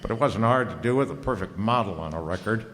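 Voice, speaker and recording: An actor playing a cool, wisecracking private detective in an old-time radio drama, with a sardonic, slangy delivery. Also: male voice, on the low side, animated, fast talker, a bit bright. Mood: accusing, sardonic. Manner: sardonic, slangy, hard-boiled. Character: cool wisecracking private detective, narrating his own case. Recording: reverberant hall.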